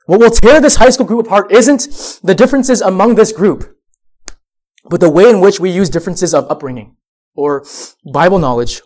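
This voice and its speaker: A young man with a cold, sniffling, giving an earnest sermon to a high school youth group with self-deprecating asides. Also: darkish tone. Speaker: youth pastor.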